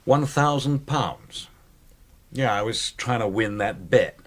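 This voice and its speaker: An actor playing a brash, excitable American knife-thrower in a radio drama, with slangy, animated talk and an American accent. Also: male voice, mid-range voice, slow pace. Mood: defensive.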